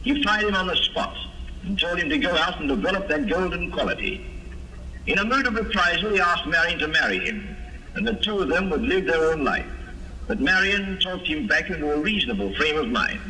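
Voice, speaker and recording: A man reading formal, measured scripted narration as the announcer of an old-time radio serial. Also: a little high, lively intonation, sharp tone, slight background noise, low-quality recording. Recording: vintage radio air check with music. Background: music.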